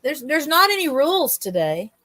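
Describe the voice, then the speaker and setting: A woman hosts a casual interview in a high-pitched voice. She is informal and easygoing, encouraging whoever she is questioning. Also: very animated, moderate pace, sharp tone, no room sound. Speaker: interviewer, host. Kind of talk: casual chat.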